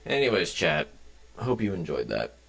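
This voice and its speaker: A young man talks to his chat from his home desk as he codes. His voice sits low with a gravelly edge, but it swings lively up and down as he rambles from one tangent to the next. He swears offhandedly into a desk mic. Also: moderate pace. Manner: rambling, jumps between tangents, swears casually. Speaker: game developer streaming. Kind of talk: casual live-stream chat while coding.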